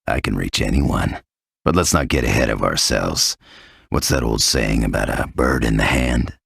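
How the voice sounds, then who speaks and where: An actor playing a cynical, hard-bitten undercover tough guy in a video game, gravelly and husky, with a blunt, sardonic delivery. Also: very deep voice, normal speed, a bit bright, dry recording, clean studio sound, exaggerated voice. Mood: dry, cynical. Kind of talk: video game cutscene acting.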